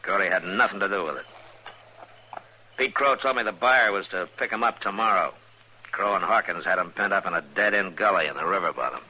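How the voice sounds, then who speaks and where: A man playing a stern, steady frontier marshal in a western radio drama, speaking in a firm, terse, authoritative way. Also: deep voice, a little fast, slightly rough, exaggerated voice. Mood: firm, accusing. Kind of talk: old-time radio western drama acting. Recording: dull, lightly noisy old radio recording.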